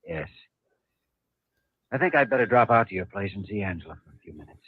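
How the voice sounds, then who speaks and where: An actor playing a calm, probing doctor in a 1950s radio drama, speaking in a measured, solemn way. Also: male voice, fairly low pitch, a little hiss or hum, close to an everyday voice. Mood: grave, solemn. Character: calm, probing male doctor, serious and concerned. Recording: muffled old radio recording with music.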